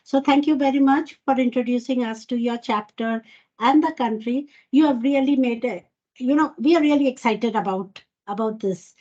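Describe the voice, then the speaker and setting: A woman hosts an online event, introducing speakers. Her voice is high-pitched and husky, and it comes through a muffled, lo-fi call. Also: moderate pace, warm tone. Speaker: event host.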